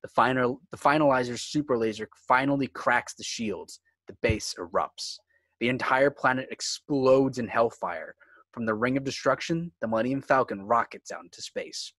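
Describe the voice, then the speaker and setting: Man, script narrator, dramatic delivery, medium pitch, dry room sound. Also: normal speed, fairly bright tone, dry recording. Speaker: narrator reading stage directions.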